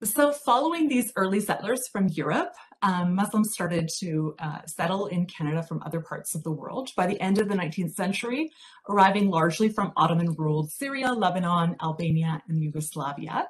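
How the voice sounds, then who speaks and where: A woman presenting a history talk over slides on a video call, measured and explanatory with frequent fillers. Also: fairly low pitch. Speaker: project researcher presenting a talk.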